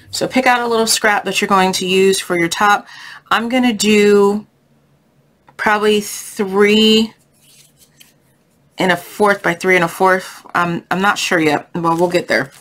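A woman's voice: mid-range voice, fairly bright tone, a little hiss or hum. A woman hosting a casual craft tutorial, chatty and thinking aloud, with a voice congested from a sinus infection. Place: indoors at a craft table.

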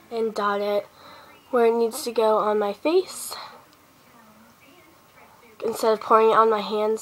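A teenage girl who is sick and sniffly, talking casually through a makeup routine step by step in her bedroom. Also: on the high side. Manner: chatty, step-by-step, talking while applying makeup. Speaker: beauty vlogger. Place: small bedroom indoors. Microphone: handheld device camera close up. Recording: faint background noise, lo-fi device mic.

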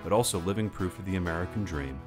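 A man narrating a short documentary in a measured, formal scripted voice-over. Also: low-pitched. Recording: voice-over with guitar music behind.

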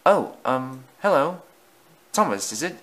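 Man voicing a cocky young tank engine, lively pitch. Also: moderate pace. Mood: nervous, sheepish. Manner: breezy and boastful, stammering when cornered.

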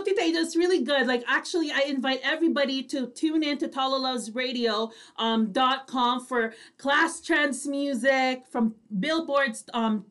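A woman's voice: sits high, fairly bright tone. A woman hosting an online radio show in casual chat over a video call.

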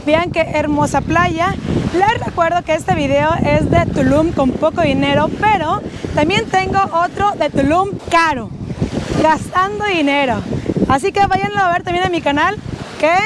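Bubbly high-voiced woman on a beach. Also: normal speed, heavy background noise. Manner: enthusiastic, addresses viewers as family. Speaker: travel vlogger. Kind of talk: upbeat travel vlog to camera. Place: outdoors on a sunny beach and in shallow sea. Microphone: action camera at arm's length.

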